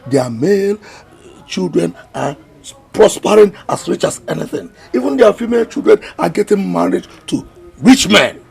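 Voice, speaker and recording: An actor playing a scheming uncle who speaks slowly and deliberately. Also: male voice, high voice, animated, darkish tone, heavily acted. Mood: persuasive. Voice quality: gravelly, slow and deliberate. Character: scheming uncle pushing a deity on his kin.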